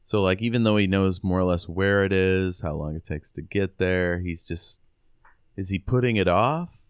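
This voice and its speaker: Low-voiced man in casual podcast chat. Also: moderate pace, smooth, dry recording, clean studio sound. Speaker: book podcast co-host. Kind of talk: casual chat discussing a novel.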